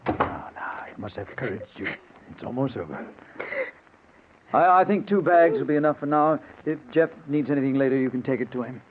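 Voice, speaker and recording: A man's voice: medium pitch, animated, brisk, natural voice. Reasonable, hesitant male friend, played by an actor, medium register, classic radio thriller, thin band. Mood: soothing. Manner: hesitant, placating. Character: placating male family friend, nervously reasonable. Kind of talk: old-time radio drama acting. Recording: tinny, noisy old radio recording.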